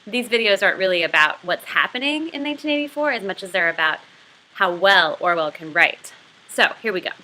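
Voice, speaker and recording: A woman's voice: mid-range voice, animated, a little fast, pushed and bright, poor audio. A woman giving an explanatory literature lesson as a video host, conversational and enthusiastic. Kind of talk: explanatory lesson with novel read-aloud.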